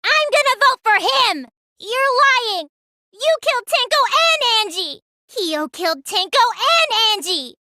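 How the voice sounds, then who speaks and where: High, childlike, sluggish girl magician. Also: big pitch swings, a little slow, pushed and bright, exaggerated voice. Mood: accusing. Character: petite sluggish teenage girl magician, sullen, stubborn. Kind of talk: English anime game voice acting.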